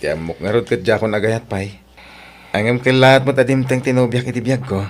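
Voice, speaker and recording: A man's voice: medium pitch, fairly quick, natural voice. A man playing a sincere, friendly bridegroom in an Ilocano audio drama, earnest, with an Ilocano accent. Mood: grateful, tender. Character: warm-hearted bridegroom, sincere grown son. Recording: music under the voice.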